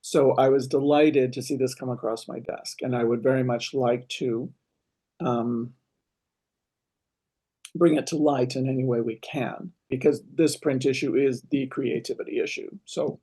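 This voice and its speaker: A man with an average-pitched voice leads an interview. He talks in a casual, conversational style, as an interviewer chatting with a guest. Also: steady tone, unhurried, mellow.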